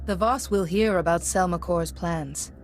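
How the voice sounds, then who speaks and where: A woman playing a calm, resolute Jedi in a video game, speaking in a composed and formal way. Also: lowish voice, a little slow, soft background music. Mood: firm. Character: calm, resolute female Jedi, selfless and dutiful.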